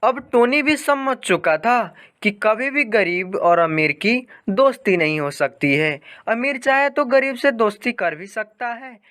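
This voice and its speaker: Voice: mid-range voice, expressive, measured pace, hardly any pauses, fairly bright tone. A storyteller reading a scripted moral story for children.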